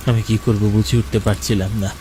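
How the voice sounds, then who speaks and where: A man's voice: lowish voice, level tone, fairly quick, noisy background. A man narrating a first-person ghost story for a cartoon in a dramatic way. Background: music under the voice.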